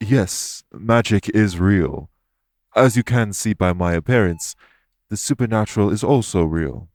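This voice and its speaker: A man voicing a calm, courteous winged elf in an audio roleplay, speaking formally and patiently to reassure the listener. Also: fairly low pitch, a little slow, dry recording. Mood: measured, explanatory.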